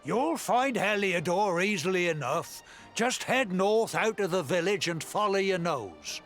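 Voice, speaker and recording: A folksy village elder from a fantasy game, jovial and a touch scheming. The voice sits high and moves at a leisurely pace, with theatrical wheedling and faint music underneath. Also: male voice, expressive, measured pace, fairly bright tone, exaggerated voice. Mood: jovial, grand. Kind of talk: scripted video game voice acting.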